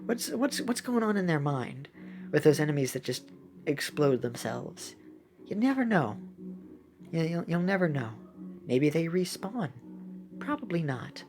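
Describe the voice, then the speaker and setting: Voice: mid-range voice, sing-song, measured pace, pauses often, darkish tone. A young adult giving casual, playful gameplay commentary in a rambling, chatty way. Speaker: gaming video host. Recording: clearly audible background noise.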